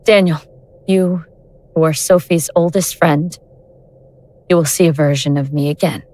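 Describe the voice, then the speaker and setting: A godlike being who remakes worlds, in a low female voice that takes its time. She is cold and imperious, speaking with deliberate disdain. The audio drama delivery is close and dry. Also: steady tone, darkish tone, no echo. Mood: imperious, impatient.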